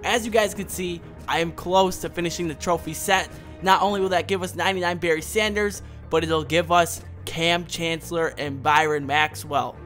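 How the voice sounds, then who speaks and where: A young man with a hoarse voice from a cold, doing upbeat gameplay commentary straight to his viewers. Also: fairly high pitch, fairly even intonation, a bit bright. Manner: upbeat, fast-talking, speaks straight to viewers. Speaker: gaming YouTuber. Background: background music.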